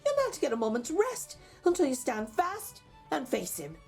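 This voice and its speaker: Woman putting on a high, loving giant wife. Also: huge pitch swings, moderate pace, creaky, darkish tone, slightly rough, soft background music, full character voice. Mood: gently firm. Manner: coaxing, tender but firm. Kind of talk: storybook character voice.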